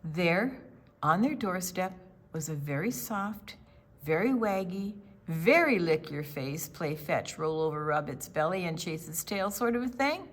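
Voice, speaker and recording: Slow, highly animated low-pitched woman storyteller. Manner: theatrical, deliberate phrasing with questions to the young listeners. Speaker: children's storytime host. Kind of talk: expressive read-aloud of a picture book for young children.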